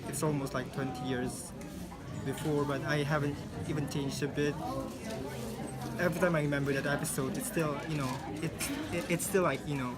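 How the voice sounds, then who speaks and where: A young man in his twenties, a native Indonesian speaker, answers in halting English and keeps restarting his thoughts. His voice sits a little high for a man. A loud crowd chatters all around him. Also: fairly even intonation. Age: 20s. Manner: hesitant, restarts often. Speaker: interviewee. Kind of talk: casual reflective answer. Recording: loud crowd noise behind.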